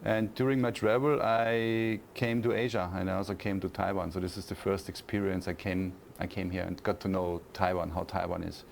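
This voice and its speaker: A fairly low-voiced man from Austria speaks English with an Austrian German accent, his voice carrying a gravelly fry. He answers interview questions thoughtfully, pausing on fillers and restarting phrases. A faint hiss sits under the recording. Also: little pitch movement. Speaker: university lecturer, interview guest.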